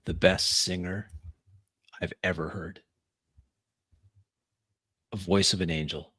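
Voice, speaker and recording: A man voices a vampire in a tabletop roleplay, humbly addressing his superior. His voice is deep and closely recorded. He is halting, deferential and quick to apologize. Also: fairly even intonation, a bit bright, husky, no echo, studio quality, heavily acted. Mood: apologetic, eager. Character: deferential vampire pleading with a powerful sheriff.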